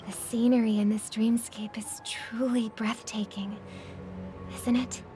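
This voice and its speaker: A gracious, thoughtful young woman voiced by an actress for a video game. She is polite and soft-spoken, taking her time over each phrase in a fairly high voice. Music is clearly heard underneath. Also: little pitch movement, a little slow, lightly acted. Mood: gracious, curious.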